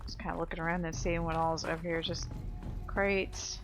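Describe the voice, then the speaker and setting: A woman's voice: on the low side, level tone, normal speed, music in the background. A woman giving casual, informal let's play commentary, thinking aloud as she plays. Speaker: let's player.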